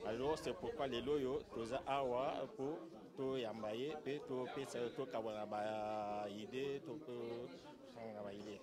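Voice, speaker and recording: Congolese man amid loud crowd noise. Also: mid-range voice, steady tone, unhurried. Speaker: association founder-president. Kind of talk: on-camera interview statement, mixing Lingala and French.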